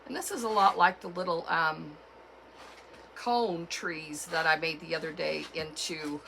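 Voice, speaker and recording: A woman with a cold and a froggy, hoarse voice, chatting casually as she walks through a craft tutorial at home. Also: low voice, fairly even intonation, pushed and bright, a little hiss or hum, poor audio. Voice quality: froggy, gravelly. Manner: chatty, unhurried, with fillers and self-corrections. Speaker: craft channel host.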